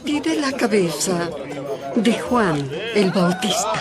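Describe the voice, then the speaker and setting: Slow, scheming vengeful queen. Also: mid-range voice, very animated. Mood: cold menace. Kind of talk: dramatized Bible audio acting. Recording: loud music and crowd.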